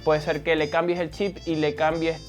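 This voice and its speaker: Man over loud guitar music. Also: fairly high pitch, level tone, moderate pace. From Venezuela. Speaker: barista interviewee. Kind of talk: on-camera self-introduction.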